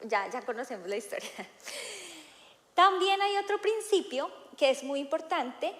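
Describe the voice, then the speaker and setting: Thirtyish woman preacher with a somewhat high voice, talking casually from the pulpit, recorded with heavy room echo. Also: animated, measured pace, fairly bright tone. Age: 30s. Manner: conversational, frequent filler "eh" and "¿no?". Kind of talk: sermon. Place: church hall. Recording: echoey hall with long reverb.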